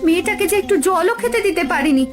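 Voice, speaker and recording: A woman's voice: extremely high pitch, lively intonation, quick pace, soft background music. A woman voicing a village wife and mother in a cartoon story, fretful and scolding. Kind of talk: cartoon voice acting.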